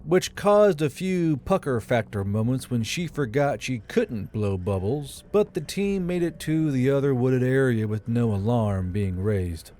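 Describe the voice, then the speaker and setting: A man's voice: mid-range voice, lively intonation, measured pace, few pauses, mellow, slight background noise. A man reading scripted fiction as an audiobook narrator.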